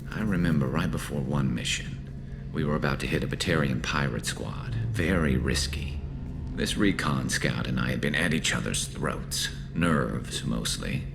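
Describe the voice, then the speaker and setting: A man playing a loyal, battle-weary male alien soldier in a video game, with a dry, wry, measured delivery. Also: very deep voice, gravelly, noisy background.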